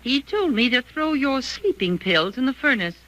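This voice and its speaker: Sharp, defiant radio drama housekeeper. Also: female voice, high-pitched, big pitch swings, moderate pace, poor audio. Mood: indignant. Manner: blunt, indignant. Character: fiercely protective, defiant female household servant. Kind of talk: 1940s radio thriller acting.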